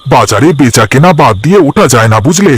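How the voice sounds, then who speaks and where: A man voicing an eager, defensive village vegetable seller in a cartoon, chatty and folksy with drawn-out hesitations. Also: mid-range voice, expressive, husky. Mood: casual, homely. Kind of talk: cartoon voice acting.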